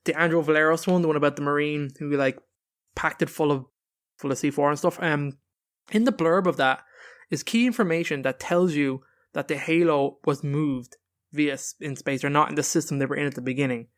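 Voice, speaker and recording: A man's voice: on the high side, fairly quick. A man hosting a podcast, talking casually and informally with emphatic opinions. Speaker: podcast host.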